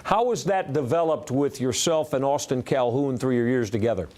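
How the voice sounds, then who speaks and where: A man's voice: medium pitch, darkish tone. Wordy American male sports host. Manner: wordy, halting questions built in long winding clauses. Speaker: sports show host interviewing a guest. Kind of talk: conversational sports interview.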